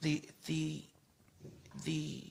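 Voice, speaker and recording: A woman's voice: deep voice, little pitch movement, drawn-out words, creaky, a little mellow, slight background noise. A woman in her forties talking bluntly and emphatically in a casual podcast chat, repeating phrases for stress and swearing freely. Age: 40s.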